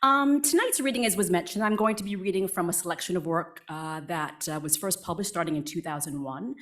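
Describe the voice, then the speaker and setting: A woman in her forties, born in Vietnam and raised near Boston, talking to a live audience in a chatty, self-deprecating way. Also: fairly quick. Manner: chatty and self-deprecating, lively pitch swings. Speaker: guest speaker, writer. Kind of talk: casual talk to a live audience.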